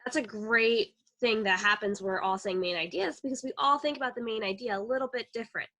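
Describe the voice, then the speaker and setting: Young lady teacher w a really high voice chatting thru a kids lesson on video call. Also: fast, bright, forceful tone. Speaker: teacher, co-host. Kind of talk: children's lesson conversation. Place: indoor room on a video call.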